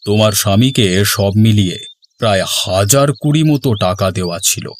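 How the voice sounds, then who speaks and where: A man voicing a stern, greedy village headman in a cartoon, with a measured, authoritative and cold manner. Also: lowish voice, slight background noise, lightly acted. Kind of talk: Bengali cartoon voice acting. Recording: background music under the voice.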